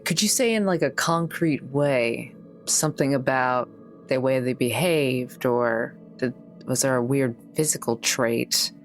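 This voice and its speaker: A woman playing a tabletop game, chatting casually and reasoning things out in a measured, informal way. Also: extremely low pitch, faint music behind. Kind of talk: casual in-character game chat.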